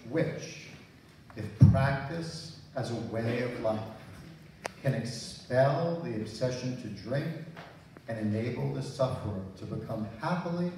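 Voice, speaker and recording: An older man with a mellow voice reads aloud slowly and carefully at a church service. The sound is very echoey and a little distant. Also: medium pitch. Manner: measured, careful reading. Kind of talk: reading aloud from printed text. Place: small church sanctuary. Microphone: handheld microphone, filmed from audience. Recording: echoey hall, distant mic, faint noise.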